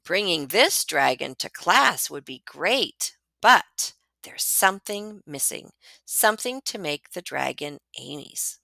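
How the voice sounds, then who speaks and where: An eager young schoolgirl from a picture book, acted by a woman reading aloud. She sounds earnest and bright, with big swings in pitch and an unhurried pace. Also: very animated, vocal fry, no room sound, heavily acted. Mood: thoughtful.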